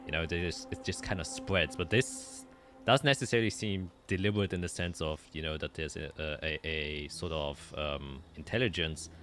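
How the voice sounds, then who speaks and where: A man narrating a tabletop roleplaying game as game master, explaining in a halting way and often rephrasing. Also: rumbling low, fairly bright tone, soft background music.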